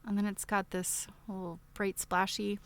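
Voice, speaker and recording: A woman's voice: mid-range voice, level tone, normal speed, clear, resonant tone. A woman hosting a casual unboxing livestream, rambling and thinking aloud as she shows items and puts questions to her viewers. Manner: chatty show-and-tell, asking viewers questions. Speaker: livestream host.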